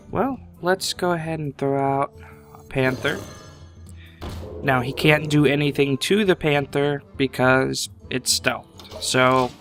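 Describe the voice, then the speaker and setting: A man commentating his card game match casually, thinking aloud at an unhurried pace, his voice a little congested from a sinus infection. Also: mid-range voice, a bit bright.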